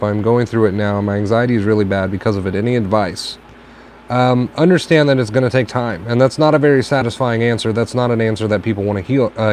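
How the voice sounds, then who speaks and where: A tired man with a raspy, dry throat, giving calm advice on a casual live stream and repeating his points for emphasis. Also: mid-range voice. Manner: reflective, repeats phrases for emphasis. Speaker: host answering viewer questions. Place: home stream from a tablet. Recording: faint background noise, lo-fi stream.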